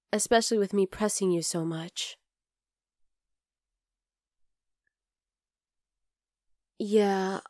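A woman's voice: medium pitch, warm tone, no echo, studio quality, close to an everyday voice. A woman playing a young wolf-girl girlfriend in an intimate ASMR roleplay, affectionate, teasing and coaxing. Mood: tender, sincere. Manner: cooing, playful, coaxing.

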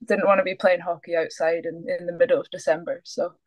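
A woman's voice: lowish voice, flat delivery, fast talker, a little mellow, low-quality recording. Scottish woman, young adult, laid-back interview guest over video call. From Scotland. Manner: easygoing, laughs often, trails off. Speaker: guest basketball player. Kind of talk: casual interview. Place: small room indoors.

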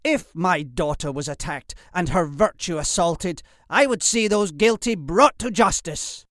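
A man's voice: medium pitch, measured pace, darkish tone, no echo, clean studio sound, natural voice. A man voicing a defiant, fiercely protective noble father with an emphatic, impassioned delivery in an audiobook. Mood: firm indignation. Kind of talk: audiobook character acting.

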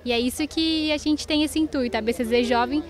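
A woman's voice: high-pitched, brisk. A young woman, a breeder, cheerfully answering an interview outdoors at a cattle fair. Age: young adult. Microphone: handheld mic.

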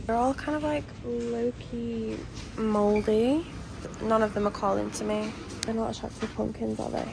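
A young woman vlogs in a casual, chatty way. Her voice is fairly high, and there is clear ambient noise behind her from a phone recording. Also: steady tone, normal speed. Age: 20s. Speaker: vlogger. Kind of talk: casual vlog chat. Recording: noisy ambience, outdoors and in car.

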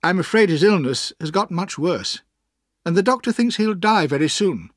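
A man playing a proud, reckless young gentleman, animated. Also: high voice, normal speed, no room sound, clean studio sound, pitched above the performer's own voice. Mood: brisk, casual. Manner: careless, intense, self-assured. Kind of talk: audiobook character acting.

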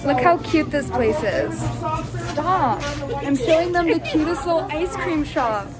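A woman's voice: high voice, expressive, unhurried, loud music behind the voice, a loud crowd. A young woman chatting upbeat to her phone camera as a vlogger, outdoors and in a ski lodge. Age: young adult. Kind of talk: casual vlog narration. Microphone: handheld phone camera.

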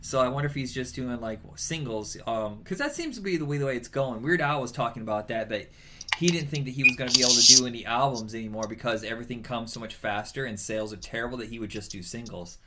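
A man's voice: medium pitch, animated, fast talker, background noise. A man co-hosting a casual music podcast, talking keenly and knowledgeably about an artist. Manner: enthusiastic, informative, music-fan talk. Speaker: podcast co-host. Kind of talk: casual chat.

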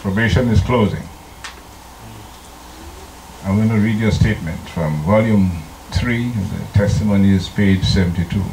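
An older man from Trinidad, a pastor preaching a slow, deliberate sermon into a handheld microphone in a church, now and then singing hymn lines. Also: low voice, a little mellow, a little hiss or hum. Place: church sanctuary. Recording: echoey church room.

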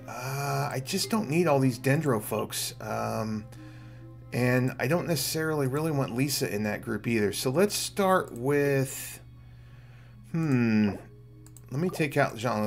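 Gravelly middle-aged man streaming a game. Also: medium pitch, lively intonation, a little slow. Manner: thinking aloud. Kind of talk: casual gameplay commentary. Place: small indoor room at home. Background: faint game music.

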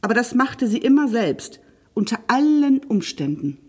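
A woman hosting and reading stories for children, expressive and playful, speaking directly to the listener. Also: fairly high pitch, moderate pace, breathy edge. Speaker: library storyteller and host.

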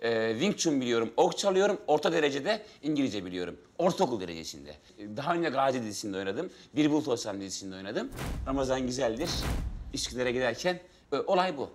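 A man's voice: mid-range voice, big pitch swings, fast, a little hiss or hum, natural voice. An actor in his thirties playing a hapless struggling auditionee in a sitcom, eager, cheeky and rambling with awkward politeness. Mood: nervous, deferential. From Tokat, Turkey. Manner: hesitant, over-polite, rambling. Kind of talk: naturalistic sitcom acting.

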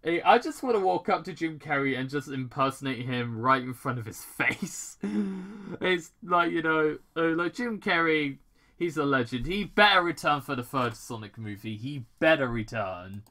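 A man's voice: on the high side, lively intonation, moderate pace, pushed and bright. A man reading fan fiction aloud informally, stumbling over words and breaking into chatty asides.

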